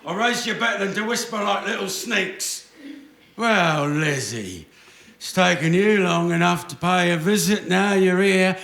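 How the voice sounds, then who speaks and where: Creaky, cantankerous old grandpa. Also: male voice, high-pitched, animated, measured pace, a bit bright, heavily acted. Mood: grumpy, bossy. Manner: blustering, querulous. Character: cantankerous hard-of-hearing old grandfather, bossy but hearty. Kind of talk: live radio play acting. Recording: roomy live stage recording.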